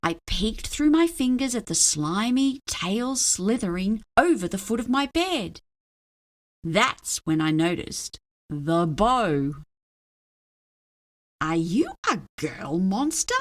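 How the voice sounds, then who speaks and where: A woman narrating a children's story aloud in a dramatic, expressive way. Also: medium pitch, slow. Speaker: storybook reader.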